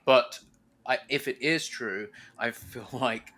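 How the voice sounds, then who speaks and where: Guy hosting a podcast, really bright punchy voice, casual excited fan chat, swears a bit. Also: mid-range voice, slow. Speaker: podcast host.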